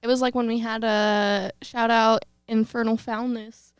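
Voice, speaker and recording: A woman's voice: fairly high pitch, level tone, measured pace, smooth, no room sound, clean studio sound. A young woman from rural Texas with a light Texan accent, co-hosting a podcast in a joking, laughing way. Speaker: podcast co-host. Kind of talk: casual chat.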